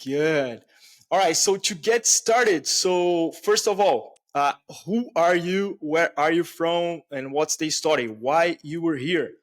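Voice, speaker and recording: A man's voice: fairly high pitch, takes it slowly. A man hosting a casual podcast interview, upbeat and chatty with his listeners. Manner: upbeat, addresses listeners as guys. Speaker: podcast host. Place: home in Canada.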